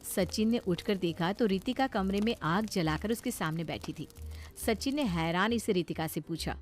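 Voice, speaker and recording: A woman's voice: mid-range voice, normal speed, faint music behind. A woman narrating a scripted story as a storyteller.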